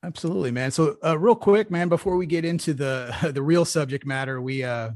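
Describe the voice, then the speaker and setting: A man's voice: a little high, a little fast, darkish tone, no echo, studio quality. A man hosting a podcast who talks casually and rambles, with many fillers and restarts. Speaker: podcast host. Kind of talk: casual interview.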